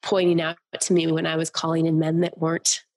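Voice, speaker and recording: A woman hosting a podcast, affirming her guest and asking questions in a lively, animated way. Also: low voice, brisk. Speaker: podcast host. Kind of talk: supportive podcast interview.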